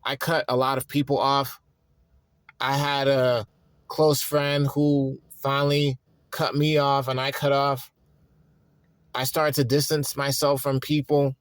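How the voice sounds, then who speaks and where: A man's voice: medium pitch, flat delivery, smooth, dry recording. Weary thirty-year-old man rambling. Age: 30s. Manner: rambling, halting restarts. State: tired and worn out. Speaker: vlogger. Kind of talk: reflective personal monologue.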